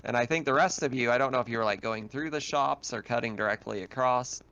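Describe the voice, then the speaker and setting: A man's voice: mid-range voice, fast, sharp tone, lo-fi sound. A man narrating a tabletop roleplaying game as game master, explaining scenes in a conversational way.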